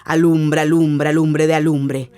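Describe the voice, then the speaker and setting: A woman giving a dramatic, deliberate literary narration for a radio adaptation. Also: low voice, level tone, warm tone, smooth.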